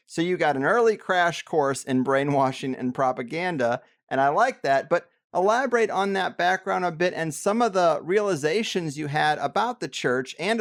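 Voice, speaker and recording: Casual man with a slightly high voice. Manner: casual, conversational questioning. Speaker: podcast host. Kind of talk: interview conversation.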